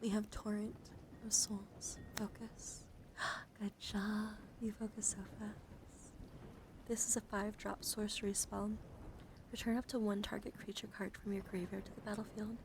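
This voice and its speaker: A soft-spoken woman live streamer doing a casual ASMR card show-and-tell, chatting and often dropping into a whisper. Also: mid-range voice, creaky, background noise.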